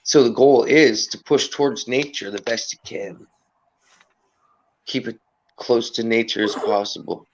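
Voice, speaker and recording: A man with a raspy voice hosting a casual livestream chat, rambling earnestly about energy, respect and nature. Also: mid-range voice, a little slow, pauses often, lo-fi sound, a little hiss or hum. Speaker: livestream host.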